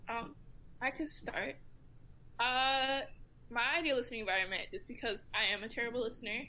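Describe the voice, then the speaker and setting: Bright-voiced woman, podcast guest. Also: on the high side, fairly even intonation, fairly quick, low-quality recording. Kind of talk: casual round table discussion, some reading aloud. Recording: faint room noise behind voice.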